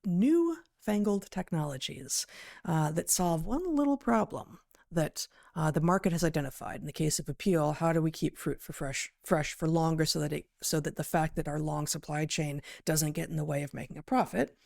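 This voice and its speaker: Low-voiced woman with creaky fry. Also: darkish tone. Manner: deliberate, articulate, hesitant pauses mid-sentence, emphatic word choices. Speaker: podcast co-host and biologist. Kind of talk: conversational commentary on news, reading out quotes.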